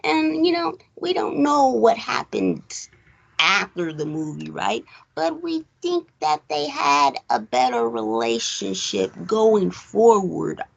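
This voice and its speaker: A woman's voice: medium pitch, sing-song, slow, vocal fry, slightly rough, lo-fi sound. An American woman hosting a casual movie chat, rambling and hedging as she goes, with frequent filler phrases. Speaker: podcast host.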